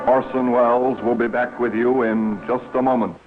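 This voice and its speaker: A man's voice: medium pitch, level tone, normal speed, phone-quality sound. A man announcing on a noisy 1950s radio broadcast.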